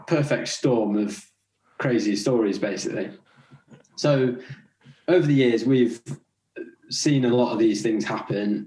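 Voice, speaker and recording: A young man with an English accent talks in a relaxed, chatty way, often laughing as he tells stories. His voice sits fairly low. The recording sounds roomy and echoey. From Scotland. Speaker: podcast guest, racing rider. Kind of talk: casual interview chat.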